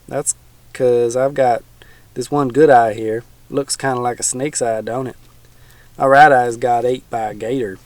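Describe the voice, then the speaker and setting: A man voicing a gruff, menacing old male swamp hermit with a folksy backwoods drawl. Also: medium pitch, fairly even intonation, unhurried, no echo, close to an everyday voice.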